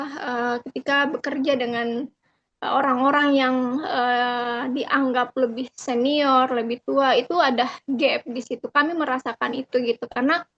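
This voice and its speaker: A woman's voice: high-pitched, fairly bright tone. A young woman speaking earnestly on a video call, hesitating often with fillers as she reflects in a discussion. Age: young adult. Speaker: online forum participant. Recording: dull lo-fi video call audio.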